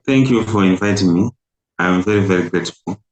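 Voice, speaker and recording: A middle-aged man from Zimbabwe, an imam and community leader, answering interview questions with frequent hesitant pauses. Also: deep voice. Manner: hesitant, many filler pauses. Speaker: imam and national community president. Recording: roomy echo.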